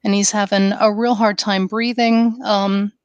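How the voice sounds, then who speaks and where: A woman co-hosting a casual podcast, speaking earnestly and haltingly with frequent ums. Her voice is smooth and clear but fairly flat in pitch. The sound is dry and close to the mic. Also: dry recording, lo-fi sound. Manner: many fillers, halting, earnest. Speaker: podcast co-host.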